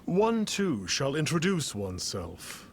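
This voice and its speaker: An actor playing a pompous, grandiose self-styled immortal sage in a video game, speaking in archaic, formal phrases. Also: male voice, on the high side, wildly swooping pitch, takes it slowly, slight background noise, full character voice. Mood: grand, formal. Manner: pompous, archaic formal speech, calls himself one. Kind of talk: theatrical video game character acting.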